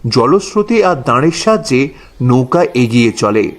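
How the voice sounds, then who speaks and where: A man's voice: medium pitch, big pitch swings, moderate pace. A man narrating a horror story dramatically in the first person as a boatman.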